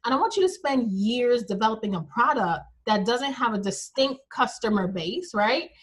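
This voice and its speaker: A woman's voice: on the high side, lively intonation, moderate pace, slightly rough. A woman hosting a podcast interview, upbeat and chatty, laughing easily with her guest. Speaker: podcast host.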